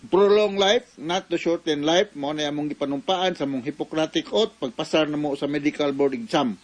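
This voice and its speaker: A man's fairly high voice with a Cebuano Filipino accent. He is a doctor hosting a radio advice show, explaining things conversationally and folksily, often checking in with short tag questions. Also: moderate pace.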